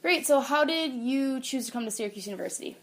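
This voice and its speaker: A woman's voice: sits high, animated, fast. A young woman student conducting an interview, asking questions and affirming answers encouragingly.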